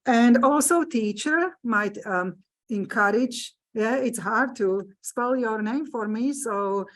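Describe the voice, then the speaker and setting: A woman with a Slovak accent lectures at an unhurried, measured pace, her voice sitting in a middle register. She pauses often on fillers and phrases things the way a non-native English speaker does, explaining patiently to a live room. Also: a little high. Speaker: genealogy presenter. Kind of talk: hybrid library lecture. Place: meeting room with live audience.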